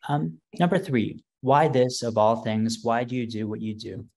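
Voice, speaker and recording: A man hosting an interview podcast, asking questions in a casual, hesitant way with pauses between phrases. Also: mid-range voice, vocal fry, darkish tone.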